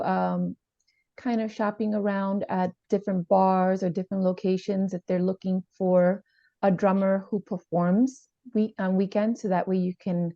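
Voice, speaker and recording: A woman plays a calm female therapist with a creaky, mellow voice. She checks in gently and hesitantly, as in improvised role-play. The video-call sound is roomy. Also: medium pitch. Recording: slightly dull, roomy video-call audio.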